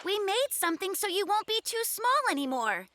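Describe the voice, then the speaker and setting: Sensible, kindly cartoon bear cub, very high and bright, upbeat and reassuring. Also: big pitch swings, moderate pace, no echo, heavily acted. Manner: reassuring, sometimes drily skeptical. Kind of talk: children's cartoon voice acting.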